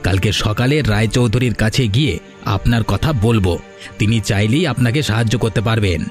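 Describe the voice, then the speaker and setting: A man's voice: fairly low pitch, normal speed, faint music behind, natural voice. A man voicing a grumbling, dismissive villager with a grudge in a moral story cartoon.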